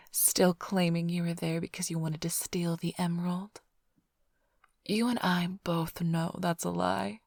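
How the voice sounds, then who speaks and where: A woman playing a flirtatious, smug immortal vampire thief in an ASMR roleplay, teasing the listener in a breathy, half-whispered, languid drawl. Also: on the low side, takes it slowly, long pauses, a little mellow, no echo, clean studio sound, heavily acted. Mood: teasing, nostalgic. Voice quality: breathy, often sinking into whisper.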